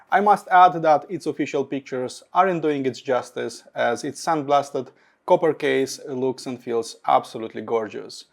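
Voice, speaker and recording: A man narrating a semi-scripted product review in measured phrases that start high and trail off low. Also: vocal fry. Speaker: audio gear reviewer.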